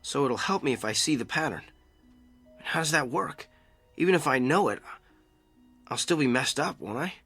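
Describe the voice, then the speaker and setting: A man's voice: medium pitch, lively intonation, normal speed, pauses often, sharp tone, slightly rough, soft background music, exaggerated voice. An actor playing a troubled, wry, self-deprecating businessman in an audio drama. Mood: uneasy, wry.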